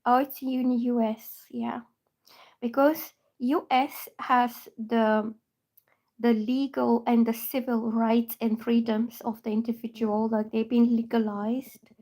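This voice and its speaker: A woman's voice: fairly high pitch, little pitch movement, slow, dark tone, low-quality recording. A woman from Bulgaria, freshly woken up, chatting casually with viewers on a tarot livestream through her phone. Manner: chatty and meandering, reads viewer names aloud. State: just woken up. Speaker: tarot reader.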